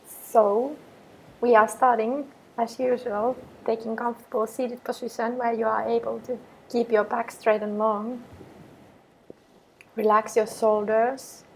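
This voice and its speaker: Slow, even-voiced woman guiding meditation. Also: a little high, vocal fry, slight background noise, poor audio. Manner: calm, unhurried, measured phrasing with pauses. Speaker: meditation and yoga teacher. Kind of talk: guided meditation with repeated affirmations.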